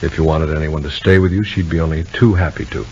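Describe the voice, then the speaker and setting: A man's voice: very deep voice, steady tone, fast talker, a little mellow. An actor playing an easygoing, protective married man in a 1950s radio soap opera, talking in a folksy, conversational way. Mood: gently concerned. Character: Bill, easygoing married man and protective older-style brother. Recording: dull, noisy old radio recording.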